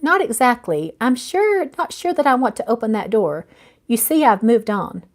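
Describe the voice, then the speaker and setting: A woman's voice: a little high, sing-song, moderate pace. A woman voicing a guarded, heartbroken businesswoman in a romance novel, confiding and rueful. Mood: reluctant, guarded. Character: weary, heartbroken businesswoman, guarded about lost love.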